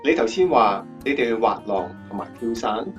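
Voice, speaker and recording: A man's voice: on the low side, expressive, brisk, recorded from a distance, lightly acted. Actor as earnest male interviewer, muffled. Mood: curious. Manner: earnest, presenterly. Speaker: interviewer and narrator. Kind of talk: scripted radio drama narration. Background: guitar music.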